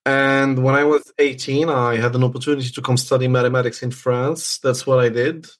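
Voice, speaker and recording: A man's voice: medium pitch, fairly even intonation, a little fast. Man from Morocco, podcast guest, explanatory, casual interview talk, hesitant phrasing, mid-range voice.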